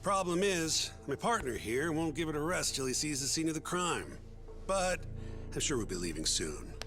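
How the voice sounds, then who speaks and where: An actor playing a cocky, casual partner in a detective game dub. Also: male voice, fairly high pitch, big pitch swings, moderate pace, sharp tone, faint music behind. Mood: placating. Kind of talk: English video game dub acting.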